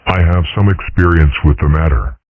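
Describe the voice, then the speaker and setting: A man voicing a calm, philosophical fox father in a comic dub, measured, wordy and dryly witty. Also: very deep voice, no room sound. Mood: calm, deadpan. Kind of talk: amateur comic strip dub. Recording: muffled, phone-like lo-fi audio.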